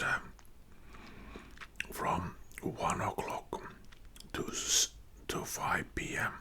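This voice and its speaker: A man from Finland with a Finnish accent whispering a casual personal story in ASMR style, in a halting, hushed manner. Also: low voice. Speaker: ASMR video creator and support worker.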